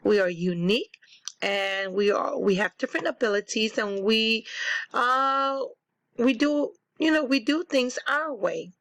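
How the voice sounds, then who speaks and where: A woman teacher reading a storybook to children and explaining it simply, in a deliberate, instructive way. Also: on the high side, fairly bright tone, dry recording.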